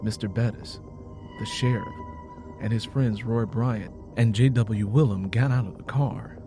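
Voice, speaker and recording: A man's voice: lowish voice, fairly even intonation, a little slow, over music. A man reading a dramatic story as a scripted audiobook narration.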